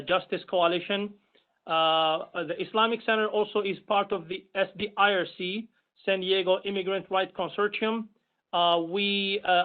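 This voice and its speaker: Algerian-born man on a tinny line. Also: high voice. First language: Arabic. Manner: measured, many "uh" pauses while listing. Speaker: imam and commission member. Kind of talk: self-introduction at a public meeting. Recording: tinny phone-like call audio.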